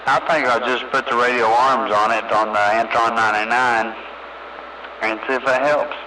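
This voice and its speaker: A man's voice: medium pitch, fairly even intonation, moderate pace. A man chatting casually over CB radio. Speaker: CB radio operator. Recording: noisy CB radio transmission.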